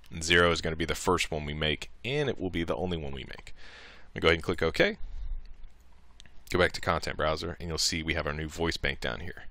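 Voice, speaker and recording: Deep-voiced man narrating a casual tutorial. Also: creaky, pushed and bright. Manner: informal, thinking aloud as he works.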